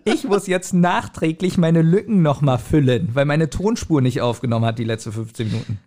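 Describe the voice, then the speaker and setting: Male co-host, slightly high voice, wry interjections in loose, informal chat. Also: a little fast, dark tone. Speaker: podcast host. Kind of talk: casual chat.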